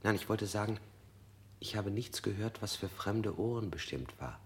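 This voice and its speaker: A man's voice: lowish voice, expressive, normal speed, natural voice. An actor playing a frightened man under interrogation in a radio drama, who keeps correcting himself and sometimes drops to a whisper. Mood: confused.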